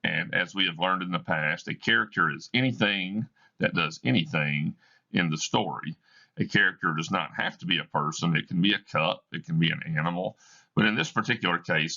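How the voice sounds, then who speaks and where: A male teacher reading a play aloud for a class, explaining slowly and deliberately. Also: sits low, gravelly, pushed and bright, highs cut off.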